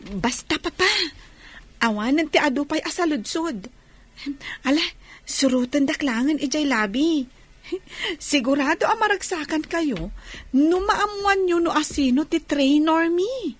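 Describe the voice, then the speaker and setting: Very high pitch, young daughter, cheerful, affectionate, radio drama. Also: female voice, lively intonation, measured pace, pauses often. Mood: cheerful, excited. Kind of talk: Philippine radio drama acting.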